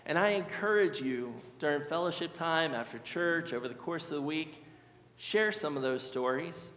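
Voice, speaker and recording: A middle-aged male pastor delivers a sermon in a measured, earnest voice that sits somewhat high for a man. He slows down to repeat key phrases for emphasis. His voice rings through a large sanctuary with a long, echoing reverb. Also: lively intonation, fairly bright tone. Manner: earnest, measured preaching with slow emphatic repetitions. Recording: echoey church hall.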